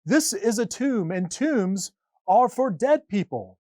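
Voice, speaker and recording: Male reader as joyful angel, children's Bible storybook, slow proclamation, lively rise and fall. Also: high voice, no room sound, studio quality, pitched above the performer's own voice. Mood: questioning. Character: shining male angel, gladly proclaiming good news.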